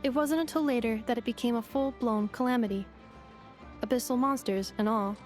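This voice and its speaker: A woman voicing a polite female robot guide in a video game, formal, measured and analytical. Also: a little high, lightly acted. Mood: matter-of-fact. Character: polite, precise young female robot guide, literal and composed. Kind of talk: video game character dialogue. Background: music.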